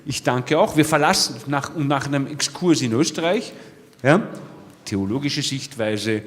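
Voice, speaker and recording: A man's voice: medium pitch, unhurried. A man moderating a conference, introducing the next speaker informally and often saying "ja". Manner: informal, repeats "ja". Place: lecture hall. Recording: reverberant lecture hall.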